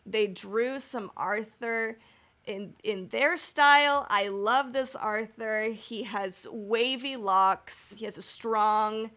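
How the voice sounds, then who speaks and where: A woman's voice: on the high side, slow pace, fairly bright tone. A woman co-hosting a casual fan podcast, gushing and repeating words for emphasis. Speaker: podcast co-host.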